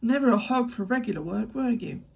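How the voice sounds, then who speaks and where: A woman's voice: fairly high pitch, fairly quick, vocal fry, warm tone, slightly rough. A woman reading an audiobook, voicing a jovial man who teases an old friend in a hearty way. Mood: teasing. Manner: teasing, hearty.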